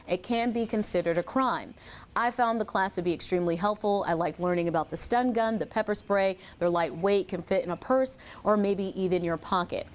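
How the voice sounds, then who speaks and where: A woman delivers scripted television news narration. She speaks as a reporter at a middle pitch, presenting the story in a broadcast style.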